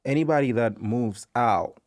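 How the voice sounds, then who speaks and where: A man's voice: mid-range voice, normal speed, a little mellow, no echo, clean studio sound. A young man from Ghana, a podcast guest, talking easygoingly and reflectively in a casual interview. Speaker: podcast guest, civil engineer.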